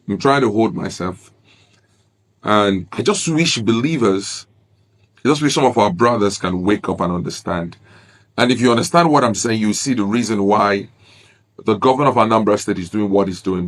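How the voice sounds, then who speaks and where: A man, an Igbo speaker, giving earnest, grieved commentary on a video clip and repeating his points. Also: fairly low pitch. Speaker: reaction video host.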